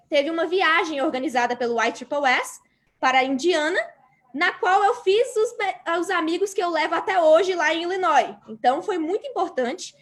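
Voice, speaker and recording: High-voiced Brazilian woman panelist. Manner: earnest, explanatory, frequent hesitations. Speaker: graduate student panelist. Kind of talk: panel talk answering a moderator.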